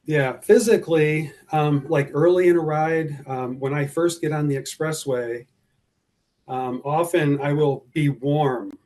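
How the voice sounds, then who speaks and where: A man being interviewed about his anxiety, speaking hesitantly with frequent pauses and fillers. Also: a little high, darkish tone. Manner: hesitant, many fillers and restarts. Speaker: guest describing his driving anxiety. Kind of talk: personal account in an interview.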